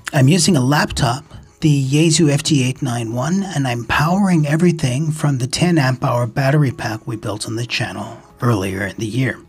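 Husky-voiced man narrating a tutorial. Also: mid-range voice, moderate pace, soft background music. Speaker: ham radio video host. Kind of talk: scripted instructional narration.

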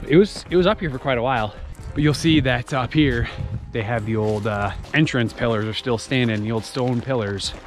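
A man's voice: medium pitch, normal speed, over music. Chatty man vlogging on a hike. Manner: enthusiastic, chatty, exclaims often. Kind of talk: casual walking vlog narration. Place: outdoors on a wooded mountain trail. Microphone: camera held at arm's length.

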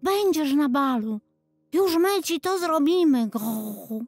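Tiny helpful fairy-tale bird, high-pitched, cooing, coaxing, music behind. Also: female voice, lively intonation, slow pace, a little mellow, no room sound. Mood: soothing. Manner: repetitive cooing chant, coaxing. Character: small, kindly, cooing talking pigeons.

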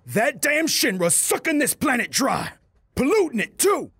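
A man voicing a gruff, hot-headed, preachy big militant leader in an audiobook story, forceful and loud. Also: extremely high pitch, sing-song, a little slow, no echo, voice pushed up, heavily acted. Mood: angry, shouting. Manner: shouting, preachy.

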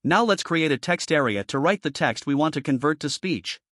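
Male tutorial narrator, slightly high-pitched, scripted instructional read, very dry sound. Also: lively intonation, normal speed, a bit bright, no echo. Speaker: programming tutorial presenter.

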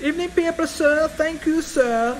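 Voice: sits high, normal speed, noisy background, lightly acted. An actor playing an insistent newspaper seller at a railway station in a homemade radio play. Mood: polite hawking. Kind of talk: street-cry radio play acting.

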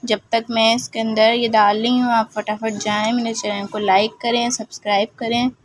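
A woman calmly guides viewers through a recipe step by step in a home kitchen, repeating "okay" and "look here" as she cooks. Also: on the high side, moderate pace. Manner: homely, repeats "ठीक है" and "ये देखें", explains patiently. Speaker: home cooking channel host.

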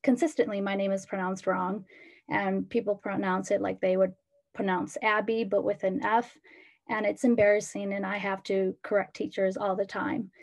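A teenage woman from Ecuador, a student panelist, giving earnest personal testimony over a video call, with frequent pauses. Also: medium pitch, creaky, a little mellow.

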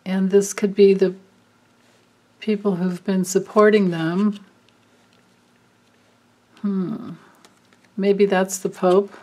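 Woman doing a card reading at a table, talks slow, mid pitch, lots of ums. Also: level tone, mellow. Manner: slow, musing, thinking aloud. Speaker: card reader. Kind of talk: casual card reading with pauses and fillers. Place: indoors at a table, hands and cards filmed from above.

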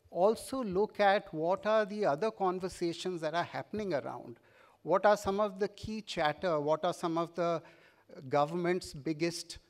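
Earnest Indian English keynote speaker. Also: male voice, fairly high pitch. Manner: earnest, urging, repeats words with fillers. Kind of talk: conference keynote speech. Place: large conference stage, indoors. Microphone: podium mic.

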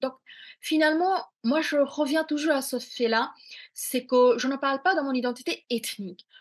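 A woman's voice: high-pitched, fairly even intonation, normal speed. A middle-aged woman from India whose first language is Bengali, answering interview questions on a video call from a small room at home, reflective, with many fillers and restarts. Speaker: author.

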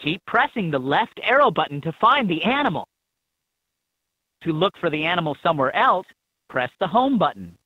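A woman with a husky voice reading scripted prompts as an encouraging guide in a children's game, on dull toy audio. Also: fairly low pitch, very animated, moderate pace, no echo.

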